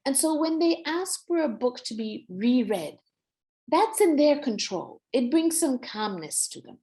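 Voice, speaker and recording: Female workshop presenter, Nepali accent, slightly high voice, very varied intonation, encouraging and instructive. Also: big pitch swings, measured pace, mellow. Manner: encouraging, instructive, mixes Nepali phrases into English. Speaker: workshop presenter on early reading. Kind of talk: explanatory presentation to parents.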